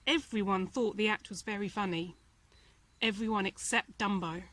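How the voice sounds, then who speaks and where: A woman reading a children's storybook aloud in an expressive narrating style. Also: medium pitch, normal speed. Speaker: volunteer storybook reader.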